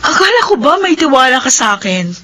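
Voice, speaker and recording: A woman's voice: high-pitched, sing-song, fairly quick, sharp tone, heavily acted. A woman playing a determined, insistent but caring company boss in a Filipino radio drama, assertive and mixing in English. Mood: defiant, assured. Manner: assertive, mixes English into her talk.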